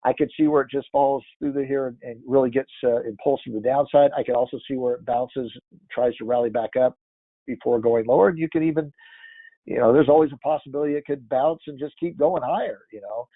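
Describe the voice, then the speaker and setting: A congested man walks through market charts in a loose, rambling way, restarting his sentences as he thinks aloud. His voice sits at an ordinary middle pitch. The audio is thin and phone-like, with no room echo at all. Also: fairly quick. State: congested, stuffy-nosed. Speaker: market analyst. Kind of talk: casual chart-by-chart market commentary. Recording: tinny phone-like dry audio.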